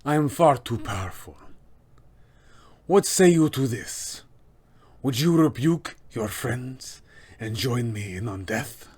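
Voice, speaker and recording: A man's voice: medium pitch, lively intonation, slow pace, long pauses, darkish tone. A man voicing an arrogant, menacing vampire lord with formal, theatrical villain speech for a tabletop game. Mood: coldly tempting. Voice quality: husky, gravelly, sometimes whispered.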